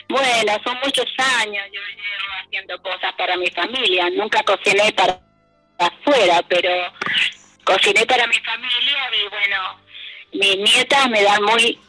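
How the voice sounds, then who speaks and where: An older woman from Chile with a Chilean accent, a guest in a radio interview who speaks hesitantly with many fillers and soft laughs. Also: mid-range voice, measured pace, soft background music, poor audio.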